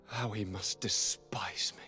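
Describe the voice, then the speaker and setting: An actor playing an eager young noble governor in a video game, with a courtly, dramatic, formal delivery. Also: male voice, lowish voice, fairly even intonation, takes it slowly, bright, forceful tone, faint music behind, full character voice. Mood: shocked, bitter. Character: young nobleman governor, eager, theatrical, wounded by his father. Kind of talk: video game cutscene acting.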